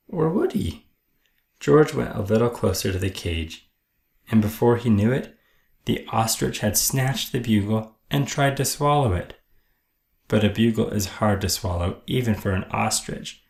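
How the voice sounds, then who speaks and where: Male children's book narrator: lowish creaky voice, expressive and deliberate, recorded in an echoey room. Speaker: storyteller reading a picture book aloud. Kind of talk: children's read-aloud narration.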